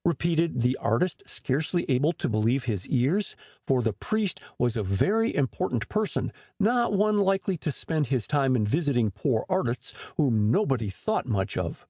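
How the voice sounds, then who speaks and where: A man narrating a storybook audiobook in an expressive storytelling manner. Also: medium pitch, normal speed, few pauses, breathy edge, no echo, studio quality.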